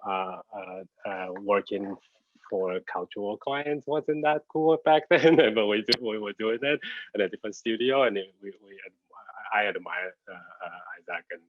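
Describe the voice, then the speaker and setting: A middle-aged man from Taiwan with a Taiwanese accent, giving an informal online talk as a designer in a halting, hesitant way while searching for words. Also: sits low, dry recording. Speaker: graphic designer and studio head giving a guest talk. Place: at home, on a video call. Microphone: computer video call. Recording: dull, lo-fi video call audio.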